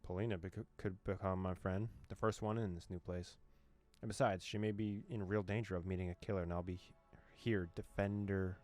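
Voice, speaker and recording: A man reading a visual novel's text aloud as a streamer, with quick joking asides. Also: sits low, steady tone, darkish tone. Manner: reads dialogue aloud, breaks off with joking asides and laughs. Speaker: gaming streamer. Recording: faint game music behind.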